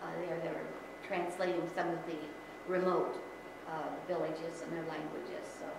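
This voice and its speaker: A middle-aged woman from the Philippines giving an informal Sunday school talk as guest speaker, chatty and quick to laugh, often correcting herself mid-sentence. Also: low-pitched, little pitch movement, noisy background. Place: large church sanctuary. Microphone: far camera. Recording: echoey church hall from far off.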